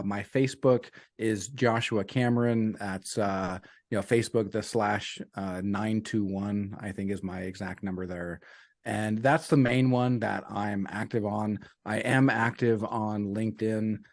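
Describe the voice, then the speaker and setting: Male coach guest, lowish voice, earnest and repetitive, casual talk, dry close recording. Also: no room sound. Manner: earnest, repeats key sayings, uses "man" and "you know". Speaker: business and life coach guest.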